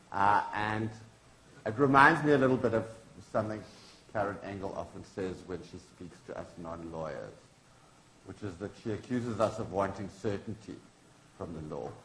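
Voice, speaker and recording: Male academic presenter, lowish pitch, measured phrasing, live in a reverberant room. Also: a bit bright. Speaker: event presenter and play reader. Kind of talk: informal introduction and stage-direction reading at a live event. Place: echoey indoor event room. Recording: echoey room with clear background noise.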